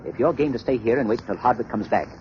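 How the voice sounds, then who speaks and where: An actor playing a shrewd, commanding gentleman sleuth in a 1930s radio drama, authoritative and dramatic. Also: mid-range voice, expressive, fast, close to an everyday voice. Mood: resolute. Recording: muffled, noisy 1930s radio broadcast recording.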